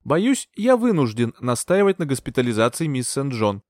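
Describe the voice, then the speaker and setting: Cold condescending doctor read by a man, calm and smooth, dry sound. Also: medium pitch, normal speed, no room sound, natural voice. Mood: cool. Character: calm, cold-mannered male doctor, sly.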